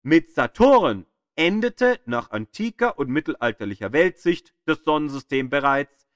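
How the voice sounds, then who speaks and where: A man reading scripted educational narration in a measured, storytelling way with dramatic emphasis. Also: fairly high pitch, very animated, no echo. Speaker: narrator of an audio tour of the solar system. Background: music.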